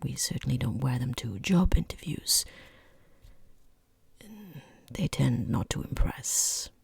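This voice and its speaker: A woman playing a rude, bored, condescending boss in a softly spoken, mostly whispered ASMR roleplay, close to the microphone, with snide, deliberate delivery. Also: extremely low pitch, animated, no room sound, full character voice. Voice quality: breathy, husky whisper.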